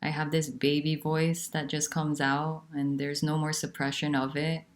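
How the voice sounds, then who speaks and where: A woman teaching about nonduality, talking in a soft, unhurried and candid way with pauses. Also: deep voice, little pitch movement. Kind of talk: reflective spiritual talk.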